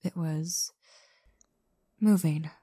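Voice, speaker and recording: Woman acting a haughty, low-voiced diva actress. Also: warm tone, breathy edge, no echo, clean studio sound, full character voice. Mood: guarded, admiring. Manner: cutting, condescending, deliberate. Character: haughty, sardonic actress, commanding and impatient. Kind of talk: scripted ASMR audio drama.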